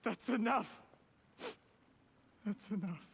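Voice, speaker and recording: A man's voice: high-pitched, slow pace, vocal fry, a bit bright, long reverb tail, slight background noise, heavily acted. A man acting a bitter, cornered young schemer in a video game. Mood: anguished.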